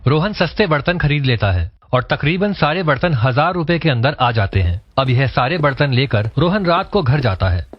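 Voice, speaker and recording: A man's voice: mid-range voice, lively intonation, moderate pace, hardly any pauses, husky. A man narrating a scripted story. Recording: dull, lo-fi voice track.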